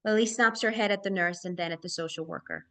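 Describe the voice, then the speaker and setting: A woman's voice: lowish voice, fast, a bit bright. A woman reading stage directions aloud as narrator at a screenplay table read.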